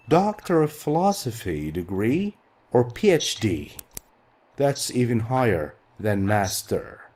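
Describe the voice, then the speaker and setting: Slow, lively-voiced male English teacher. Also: medium pitch, background noise. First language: Arabic. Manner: slow, patient, repeats key words. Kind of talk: explaining a textbook lesson.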